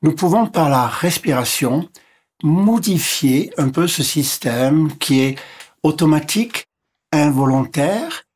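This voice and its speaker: A man whose first language is English, speaking as a physician guest and explaining step by step in a measured way that lists and repeats points. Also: fairly high pitch, lively intonation, darkish tone, husky. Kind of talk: explanatory interview talk.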